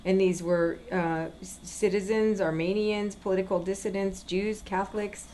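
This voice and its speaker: A woman's voice: mid-range voice, little pitch movement, normal speed, warm tone, a little hiss or hum. A woman co-hosting a political talk show, speaking conversationally and earnestly, repeating points for emphasis. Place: inside a gun store.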